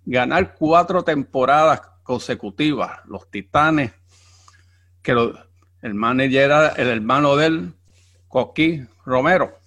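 A man's voice: mid-range voice, lively intonation, a little slow, fairly bright tone. A man hosting a podcast, giving a formal, announcer-like introduction and asking interview questions. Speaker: podcast host.

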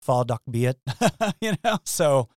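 A man from Wisconsin, a guest scholar, telling his story in a relaxed way with fillers and chuckles. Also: mid-range voice, slow, no echo, clean studio sound. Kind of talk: casual academic interview.

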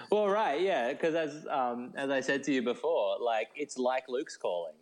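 A man's voice: mid-range voice, expressive, unhurried, rough recording. A man speaking as a guest novelist in a casual interview, rambling and self-deprecating, with hesitant starts. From Australia. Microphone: phone call.

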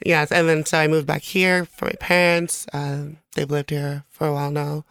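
A woman's voice: sits low, flat delivery, moderate pace, vocal fry, fairly bright tone, no echo, clean studio sound. A woman from American Samoa chatting casually as a podcast guest, joking and slangy in manner. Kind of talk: casual chat.

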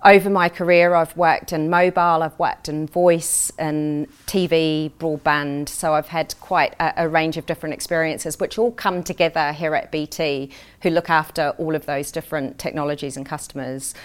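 A middle-aged woman from New Zealand speaks in a low-pitched voice with a New Zealand accent. She answers as a corporate interview guest in an earnest, conversational way, sounding keen on her work. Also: steady tone, moderate pace, clear, resonant tone.

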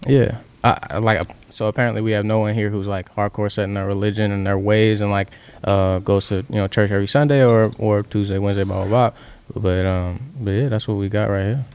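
A man with a smooth, resonant, low-ish voice chats in a laid-back, slangy way among friends. He speaks easily and casually. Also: fairly even intonation, fairly quick, few pauses, a little mellow, no echo. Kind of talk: casual group chat.

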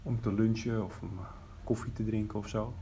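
An actor playing an older widowed father who talks plainly and haltingly about his missing daughter in a fiction podcast. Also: fairly low pitch, fairly even intonation, warm tone, slight background noise, close to an everyday voice. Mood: subdued grief. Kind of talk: fiction podcast, naturalistic interview acting.

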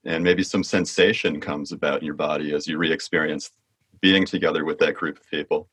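A man's voice: extremely low pitch, fairly even intonation, brisk, fairly bright tone, husky, dry recording. A man hosting an interview podcast, welcoming listeners and asking probing, inviting questions. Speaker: podcast host.

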